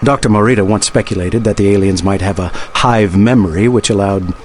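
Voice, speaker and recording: A man's voice: on the low side, brisk, a little hiss or hum, close to an everyday voice. An actor playing a learned male scientist advisor in a video game, speaking in a dry, explanatory way. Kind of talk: video game cutscene acting.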